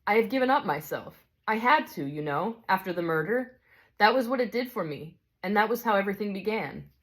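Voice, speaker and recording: A woman voicing a calm, joyful male spirit who speaks gently and reassuringly. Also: medium pitch, brisk, pitched above the performer's own voice, lightly acted. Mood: serene.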